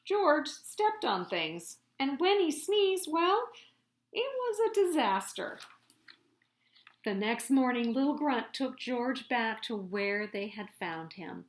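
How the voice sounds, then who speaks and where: A woman reading a children's picture book aloud as a storyteller, with dramatic, deliberate emphasis, recorded in an echoey room. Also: a little high, big pitch swings.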